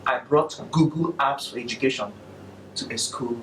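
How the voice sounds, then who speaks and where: A man with a Nigerian accent giving a presentation to an audience in a measured, emphatic way. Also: mid-range voice, a bit bright, reverberant, recorded from a distance, a little hiss or hum, low-quality recording. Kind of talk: capstone presentation.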